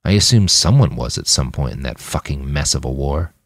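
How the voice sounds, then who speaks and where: A man's voice: very deep voice, expressive, a little fast, no echo, studio quality, voice pushed down. A man voicing a weary, grieving young man in an audiobook, blunt and defiant in his plain speech.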